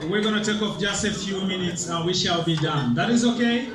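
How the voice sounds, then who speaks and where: High-voiced man rousing a crowd. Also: fairly quick. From Uganda. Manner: energetic, rousing, drills the crowd with repeated questions. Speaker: seminar presenter. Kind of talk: motivational talk with audience call-and-response. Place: large hall with audience. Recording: echoey hall, distant mic, crowd noise.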